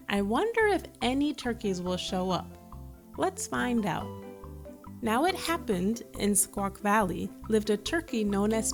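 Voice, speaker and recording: A woman's voice: medium pitch, fairly bright tone. Woman reading a children's story over music. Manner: engaging, asks the listener questions. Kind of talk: read-aloud storytelling with asides to young listeners.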